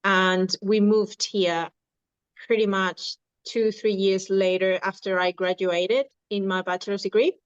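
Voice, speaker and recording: A woman in her thirties from Venezuela speaks in an unhurried, halting way, often restarting her sentences. Her voice is smooth and clear. It is recorded dry, with a slightly dull tone. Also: medium pitch, steady tone, dry recording. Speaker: dietitian and nutrition coach. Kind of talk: podcast interview.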